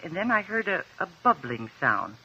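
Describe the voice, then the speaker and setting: An actress with an American accent playing a nervous, earnest courtroom witness in a 1950s radio drama, hesitant and stammering. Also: female voice, fairly low pitch, sing-song, normal speed, bright, forceful tone, breathy edge, full character voice. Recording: muffled old 1950s radio recording.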